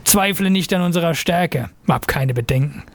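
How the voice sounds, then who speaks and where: A man's voice: fairly high pitch, normal speed, close to an everyday voice. A man playing a fanatical Islamist recruiter in an audio drama, preaching in a solemn, declamatory way. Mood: reassuring, confident. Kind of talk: scripted audio drama monologue.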